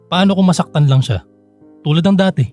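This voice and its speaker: A man's voice: high voice, brisk, a little mellow, faint music behind, natural voice. A man acting in a radio drama as a blunt, disapproving, protective grown son.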